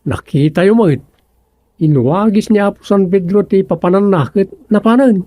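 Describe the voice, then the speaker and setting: A man's voice: high voice, moderate pace, dark tone. An actor playing an earnest younger man in a comedic radio drama, explaining things insistently to his old father. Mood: concerned.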